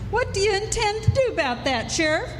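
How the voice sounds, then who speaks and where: A woman voicing an excitable frontier local spinning exaggerated tall tales in a live western radio drama. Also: very high voice, a little fast. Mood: excited, demanding. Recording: echoey hall, music behind.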